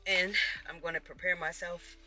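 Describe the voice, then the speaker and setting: A woman with a sharply bright, strained voice speaks over clear music. She is vlogging something deeply personal, earnest and exposed, and sounds emotional and close to tears. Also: flat delivery, normal speed, low-quality recording. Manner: earnest, vulnerable. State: emotional, tearful. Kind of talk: emotional personal confession to viewers.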